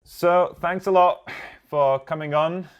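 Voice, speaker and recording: A man's voice: fairly high pitch, steady tone, slow pace, clear, resonant tone, highs cut off. A man hosting a podcast, asking interview questions eagerly and often repeating words as he starts. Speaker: podcast host.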